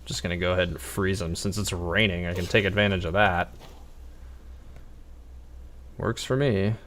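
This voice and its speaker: Male streamer: lowish voice, offhand and wry, musing aloud over gameplay. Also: steady tone, moderate pace, a bit bright, a little hiss or hum. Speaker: game livestreamer. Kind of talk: casual live gameplay commentary.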